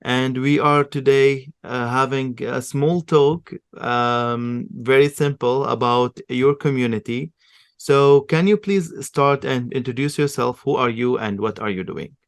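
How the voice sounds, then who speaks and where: A man of middle pitch hosting an interview, polite and a little hesitant with fillers as he asks his guest questions. His voice is recorded dry and close, with no room sound. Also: a little slow, smooth, no echo. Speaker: interviewer, host. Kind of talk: hosted interview.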